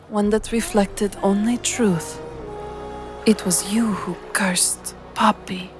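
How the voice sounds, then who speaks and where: A woman's voice: medium pitch, voice pushed down. A woman voicing a solemn, wary mystical figure in a fantasy tabletop game.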